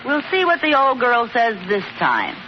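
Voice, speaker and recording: An actress playing a playful, scheming, talkative housewife, teasing and wisecracking in 1940s radio comedy style. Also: female voice, on the high side, wildly swooping pitch, moderate pace, a crowd in the background. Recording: muffled, noisy old radio recording with audience.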